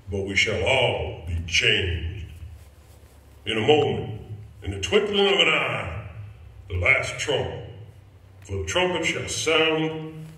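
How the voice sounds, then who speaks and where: A man's voice: medium pitch, sharp tone. An actor playing a solemn clergyman reciting a funeral service on stage in a grave, declamatory manner. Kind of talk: theatrical scripture recitation. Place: indoor stage, recorded from afar. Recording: cavernous echo, distant mic.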